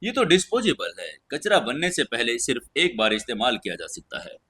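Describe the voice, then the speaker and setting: A man's voice: mid-range voice, wildly swooping pitch, brisk, bright, forceful tone, slightly rough, exaggerated voice. A man voicing a young female magic student in an audio story, curious, offhand and dismissive. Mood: casually dismissive.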